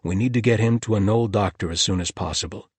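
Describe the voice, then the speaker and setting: A man's voice: fairly low pitch, quick pace, a little mellow, husky, no room sound, voice pushed up. A man voicing an earnest male warrior who speaks urgently.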